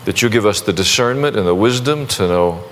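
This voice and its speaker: Folksy pastor with restarts. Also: male voice, fairly low pitch, expressive, brisk, a bit bright, a little hiss or hum. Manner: conversational, folksy, self-deprecating with many restarts. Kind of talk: sermon and prayer to a congregation.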